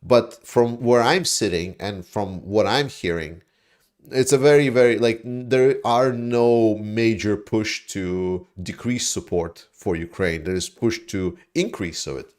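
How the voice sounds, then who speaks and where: A man's voice: on the low side, measured pace. Rambling man on a livestream. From Latvia. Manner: rambling, animated, swears, mocks opponents in put-on voices. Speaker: livestream host reading viewer chat. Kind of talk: casual livestream chat.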